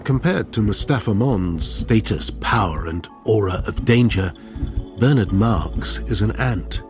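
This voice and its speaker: Low-pitched, reflective male narrator played by an actor. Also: unhurried, natural voice. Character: reflective, knowing male intellectual narrating events. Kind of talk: dramatic first-person narration. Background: music.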